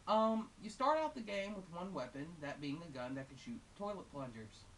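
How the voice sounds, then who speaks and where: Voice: medium pitch, animated, normal speed. A female-sounding game reviewer giving casual, unscripted and opinionated commentary in a conversational way with wry asides. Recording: hissy, distant microphone.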